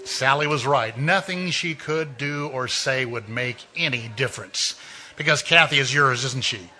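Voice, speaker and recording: A man's voice: medium pitch, sharp tone, slight background noise. A man playing an all-knowing narrator who taunts a jealous woman in a live 1940s-style radio mystery. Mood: taunting. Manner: insinuating, measured, knowing. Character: mysterious omniscient male narrator taunting a killer.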